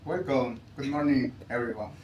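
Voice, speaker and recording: A man from Peru, a student ambassador answering panel questions in halting English that he often corrects. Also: medium pitch, fairly even intonation, darkish tone. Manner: halting, self-correcting non-native English. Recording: echoey livestream audio.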